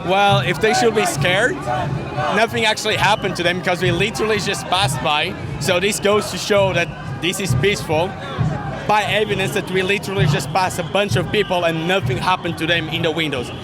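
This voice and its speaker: A man's voice: high voice, steady tone, fairly quick. A man from Portugal giving a conversational street interview as a protester outdoors. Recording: loud crowd and music on street. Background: loud crowd and music.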